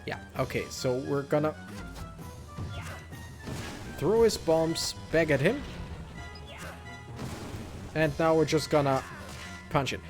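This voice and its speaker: Let's play host, male: lightish high pitch, casual thinking-aloud commentary, soft game music underneath. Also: lively intonation, slow pace, long pauses. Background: faint video game music.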